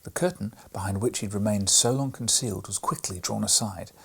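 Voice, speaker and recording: Husky man reading a bedtime story. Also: lowish voice, brisk. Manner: soft, often breathy and whispered narration.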